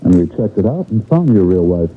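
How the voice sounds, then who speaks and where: An actor playing a cool, authoritative detective, dryly probing, in a 1970s radio mystery drama. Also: male voice, low-pitched, big pitch swings, brisk. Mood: quietly menacing. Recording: dull, faintly noisy vintage radio broadcast.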